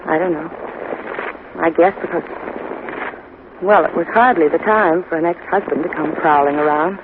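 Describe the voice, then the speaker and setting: A woman's voice: sits low, moderate pace, natural voice. An actress playing an apologetic, disillusioned divorced woman in a 1950s radio detective drama. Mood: contrite, wistful. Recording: tinny, very noisy old radio recording.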